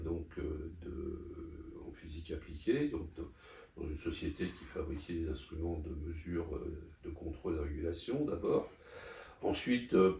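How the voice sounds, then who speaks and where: A man's voice: sits low, dark tone. An older man from Paris, talking through his life in an interview, hesitant with many fillers and restarts. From Paris, France. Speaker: interview guest. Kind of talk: conversational interview. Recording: echoey room, distant mic.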